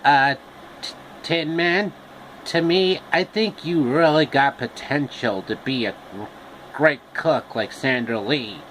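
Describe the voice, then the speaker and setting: A man's voice: medium pitch, takes it slowly, a bit bright, slight background noise, low-quality recording. A man voicing a sympathetic, encouraging scarecrow from a storybook, hesitant and earnest.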